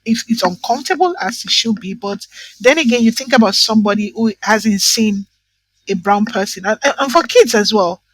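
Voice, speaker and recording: Woman from nigeria chatting, husky kinda breathy voice, keeps going "right?" to drive her point. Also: fairly high pitch, moderate pace, no echo, low-quality recording. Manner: emphatic, frequent "right?" and "you know". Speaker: guest. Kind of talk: casual conversation.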